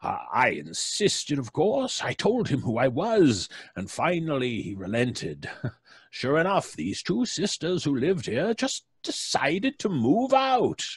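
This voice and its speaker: A man's voice: a little high, huge pitch swings, slow pace, slightly rough, no room sound, studio quality, exaggerated voice. A man playing a hearty, pompous, self-satisfied theatrical actor in an old-time radio play, effusive and grandiose. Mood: boastful, chummy.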